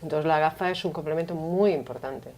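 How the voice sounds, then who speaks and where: A woman, a panel guest who explains her point in a measured way. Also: low-pitched, a little mellow, slight background noise. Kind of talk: studio panel discussion.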